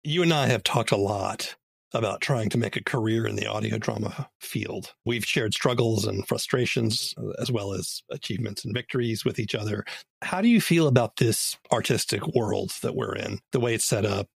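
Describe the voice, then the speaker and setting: A man's voice: lowish voice, normal speed, creaky, breathy edge, no echo. A man hosting a podcast interview in a conversational style.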